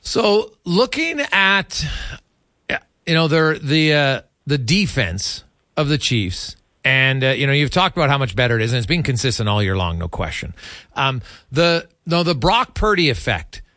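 A man's voice: medium pitch, big pitch swings, moderate pace, sharp tone, no room sound, studio quality. A man hosting a sports radio show, putting conversational questions to a guest. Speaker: sports radio host, interviewer.

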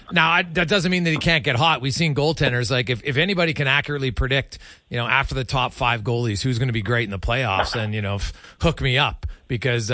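A man's voice: on the high side, bright, forceful tone, dry recording, clean studio sound. A man hosting a sports talk show, giving emphatic, conversational hockey analysis.

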